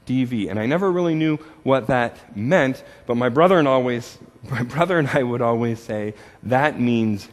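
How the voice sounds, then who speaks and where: A man from Canada preaching a sermon, telling stories with gentle humor and often repeating words as he goes. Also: mid-range voice, moderate pace, few pauses, big-room sound. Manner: storytelling with self-deprecating humor, repeats words. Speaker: pastor.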